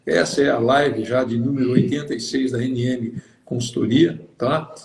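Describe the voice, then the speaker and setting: Gravelly man in an echoey room. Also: medium pitch, fairly even intonation, moderate pace, a little mellow, slight background noise. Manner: brief, hands the floor over. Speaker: live-stream host. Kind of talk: host introduction. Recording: roomy echo.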